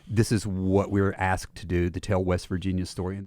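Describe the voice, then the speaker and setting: A man acting as a radio interviewer, talking casually and a bit haltingly, often repeating words as he starts. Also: low-pitched, little pitch movement, darkish tone, no room sound. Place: radio studio.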